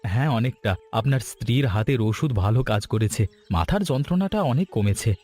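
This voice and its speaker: A man's voice: medium pitch, lively intonation, brisk, darkish tone, faint music behind, natural voice. A man playing a polite, secretive city guest in a cartoon story, speaking hesitantly. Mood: guarded.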